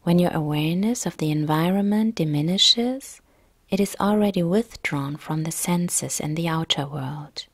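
A woman yoga nidra instructor narrating a guided relaxation meditation in a calm, soft, gentle, breathy way. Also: on the low side, normal speed, no echo, studio quality.

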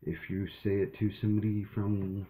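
Young guy, deep mellow voice, rambling on with lots of uhs, echoey bathroom webcam sound. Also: little pitch movement, normal speed, a little hiss or hum. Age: young adult. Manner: rambling, with many fillers and restarts. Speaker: shaving vlogger. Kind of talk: casual talk to camera. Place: small home bathroom. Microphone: webcam at arm's length. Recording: echoey small room.

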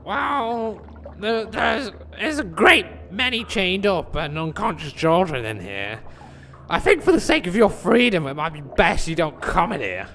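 A sharp, crackly little gnome, greedy and mocking, in a comic fantasy audio play. He swears crudely in a forceful voice. It sounds echoey and a little noisy. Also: high voice, sing-song, a little slow, exaggerated voice. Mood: sarcastic. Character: foul-mouthed, greedy, scheming little male gnome. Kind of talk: comedic fantasy audio drama. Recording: echoey reverberant room with some noise.

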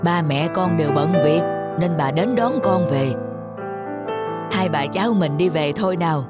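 A woman voicing a kindly old country grandmother in a children's cartoon, gentle and reassuring. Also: fairly low pitch, moderate pace, lightly acted. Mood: gentle, coaxing. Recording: music behind the voice. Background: background music.

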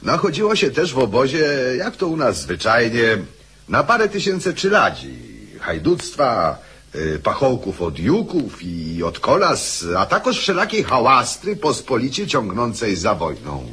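A man playing a colloquial soldier in a radio drama, with a husky voice. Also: medium pitch, huge pitch swings, unhurried, bright, forceful tone, full character voice. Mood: wry. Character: colloquial soldier describing an army rabble.

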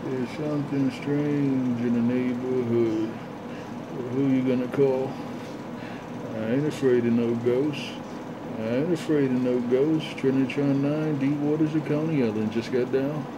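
Man on noisy CB radio, even-pitched. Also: unhurried. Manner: repetitive, chanting catchphrases. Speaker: CB radio operator. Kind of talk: CB radio chatter with call signs and repeated catchphrases. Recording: noisy, dull CB radio audio.

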